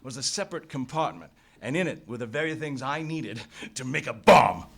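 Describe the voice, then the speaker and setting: Man playing a stoic radio-play adventurer, noticeably varied pitch. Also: expressive, normal speed, vocal fry. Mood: tense, dramatic. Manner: formal, dramatic storytelling, hesitant in dialogue. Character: beleaguered, stoic male adventurer hero narrating his escape. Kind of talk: live radio play acting, scripted first-person narration. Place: theatre stage, live performance. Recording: noisy live stage recording.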